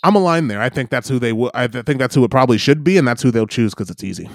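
A man's voice: medium pitch, expressive, racing, creaky, no echo. A man co-hosting a podcast in casual chat, rambling and hedging with frequent laughs. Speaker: podcast co-host.

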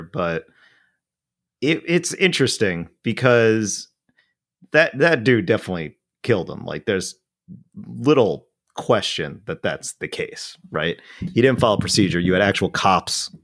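A man in his thirties from the New York area, hosting a casual chat in a hoarse, raspy voice, rambling and correcting himself. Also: sits low, very animated, moderate pace, fairly bright tone. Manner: rambling, hesitant, self-correcting. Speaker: podcast host.

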